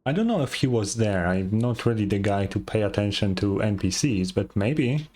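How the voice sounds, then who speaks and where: A woman live streamer giving casual game reactions in a dry, tired-sounding, low-key manner. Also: lively intonation, normal speed, mellow. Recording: game music behind the voice.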